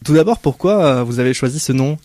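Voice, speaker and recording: A man's voice: medium pitch, lively intonation, fast talker, darkish tone, no room sound. A man hosting a local radio interview, hesitant, with frequent fillers as he introduces guests and asks questions. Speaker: radio host.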